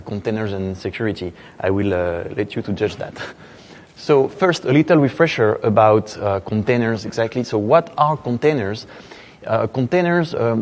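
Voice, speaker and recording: A man with a thick French accent speaks at a conference, loose and conversational, with plenty of "uh" and "um" and wry asides. His voice sits a bit low for a man. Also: a little mellow. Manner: conversational, self-deprecating, many fillers. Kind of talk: technical conference talk. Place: conference hall.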